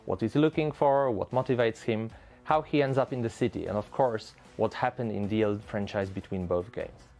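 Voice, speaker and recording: A man with a fairly low voice answers interview questions as a game developer. His answers sound prepared and promotional, with soft music in the background. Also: gravelly.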